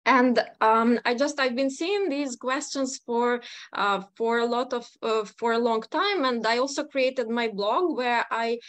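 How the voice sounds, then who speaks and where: A woman's voice: on the high side, unhurried, fairly bright tone, no echo. A woman in her thirties from Ukraine with a Ukrainian accent, giving a chatty webinar talk as guest speaker, full of "like" and "um". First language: not English. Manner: chatty, many fillers, frequent "like".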